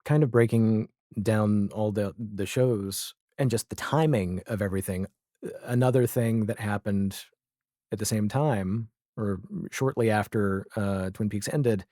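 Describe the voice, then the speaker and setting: A man's voice: fairly low pitch, measured pace, warm tone, no echo, studio quality. A man speaking as a podcast guest in a casual, reflective interview conversation, explaining his thoughts with examples. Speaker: podcast guest, audio drama creator.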